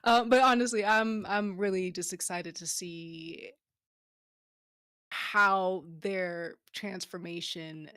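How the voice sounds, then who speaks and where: A woman's voice: mid-range voice, fairly even intonation, slow, fairly bright tone, smooth, dry recording, clean studio sound. A woman chatting casually as a guest on an after-show, thinking aloud as she goes. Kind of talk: casual chat. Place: online group voice call.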